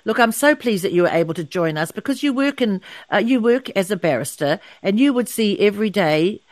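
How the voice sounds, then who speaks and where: A woman's voice: mid-range voice, expressive, fairly quick, no echo. Kiwi woman radio host in the studio, kinda hesitant, lots of ums, dry close mic. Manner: hesitant, many fillers and restarts. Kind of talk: radio interview.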